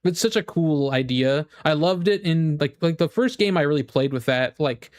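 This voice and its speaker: A man's voice: on the high side, animated, moderate pace. A man streaming casually to his viewers, rambling and repeating himself as he gives emphatic opinions in fan-rant style. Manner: rambling, with frequent "like" and repeated phrases. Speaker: game streamer.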